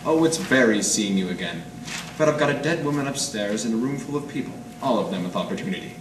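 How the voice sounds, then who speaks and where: A hard-boiled 1940s radio detective played by a man, low-pitched and world-weary, trading in wry, clipped slang. He is picked up from a distance in an echoey room, with clear noise over the stage performance. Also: animated, quick pace, close to an everyday voice. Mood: wry, guarded. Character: cynical, world-weary small-time noir detective man. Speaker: amateur stage radio-drama actor. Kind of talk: 1940s radio noir acting. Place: live performance in an art gallery.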